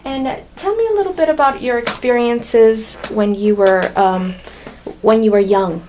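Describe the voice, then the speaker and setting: A woman conducting an oral history interview, her voice slightly high. She asks questions plainly and answers with short, gentle acknowledgements. Faint ambience sits behind her. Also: normal speed, slight background noise, low-quality recording.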